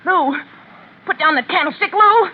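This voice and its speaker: An actress playing a frightened, defiant young woman in a shrill, crying 1940s radio drama style. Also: normal speed. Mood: terrified pleading. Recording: muffled, noisy old radio recording.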